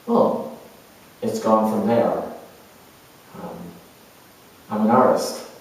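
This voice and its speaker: A man playing a creative, spiritual-minded sculptor in a dramatized monologue, speaking in a halting, musing, expressive way with pauses. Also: on the low side. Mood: proud, amused. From New Zealand. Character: creative, sensual, reflective middle-aged male sculptor, spiritual seeker. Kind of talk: dramatized first-person monologue before an audience or room. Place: echoey room, recorded from far off. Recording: very echoey room, distant microphone.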